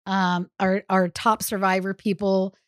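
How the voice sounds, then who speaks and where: A woman's voice: medium pitch, level tone, unhurried, dry recording, studio quality. A woman co-hosting a podcast, chatting casually about television shows. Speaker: podcast co-host. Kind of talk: casual TV recap chat.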